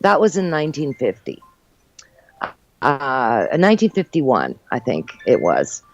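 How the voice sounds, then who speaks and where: A woman's voice: rumbling low, huge pitch swings, unhurried, lo-fi sound, slight background noise. An older woman, born in Uruguay and raised in New Jersey and New York, chatting as an interview guest and telling her story with lots of fillers. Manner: chatty, warm storytelling with frequent fillers and laughs. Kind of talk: casual interview chat.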